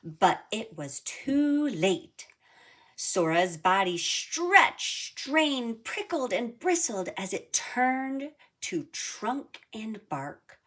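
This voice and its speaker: A woman narrating a children's storybook in a deliberate, animated read-aloud style. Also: on the high side. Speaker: storybook reader.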